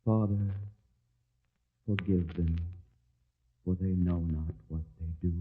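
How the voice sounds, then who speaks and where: Solemn dying jesus, very deep, very slow, tinny old radio sound. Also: male voice, fairly even intonation, soft background music. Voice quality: solemn, slow, archaic speech. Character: dying Jesus on the cross, solemn, man in his thirties. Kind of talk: vintage radio drama acting. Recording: tinny phone-like radio drama audio.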